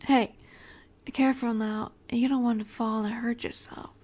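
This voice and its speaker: A woman playing a seductive, possessive vampire in an intimate roleplay audio drama, teasing and coaxing in a soft, breathy voice that often slips into whispers. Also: on the high side, little pitch movement, normal speed, natural voice. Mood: tender, soothing.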